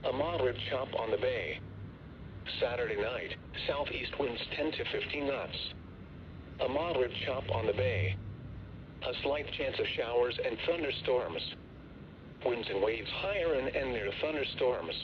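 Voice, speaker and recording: A man's synthetic voice of average pitch reads a weather forecast as a radio announcer. The delivery is formal and impersonal, broken into short segments. It comes through a dull, lo-fi radio signal with clear noise behind it. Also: expressive, a little slow. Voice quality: synthetic text-to-speech voice. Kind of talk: automated weather forecast reading.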